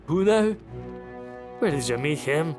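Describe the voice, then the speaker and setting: A man voicing a gruff, dismissive village innkeeper in a fantasy game, with a creaky voice and brusque, folksy talk. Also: fairly high pitch, animated, unhurried, music in the background. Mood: brusque, disbelieving. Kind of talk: acted fantasy game dialogue.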